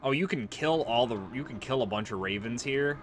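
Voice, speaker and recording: A man's voice: mid-range voice, fairly quick, slight background noise. A man giving casual, offhand and sweary commentary as he plays through a video game. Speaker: let's player. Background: loud video game music.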